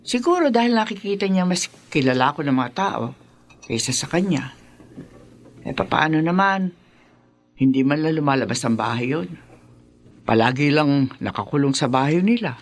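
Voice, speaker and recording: An actress playing an aging, wistful mother in a radio drama, with a creaky voice. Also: low voice, lively intonation, moderate pace, pauses often. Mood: resentful.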